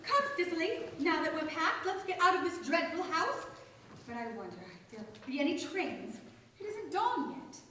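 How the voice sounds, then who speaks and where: A woman's voice: very high voice, big pitch swings, moderate pace, sharp tone, heavily acted. A woman playing a haughty, melodramatic chatterbox lady in a staged radio play, theatrical and indignant, recorded echoey and distant with background noise.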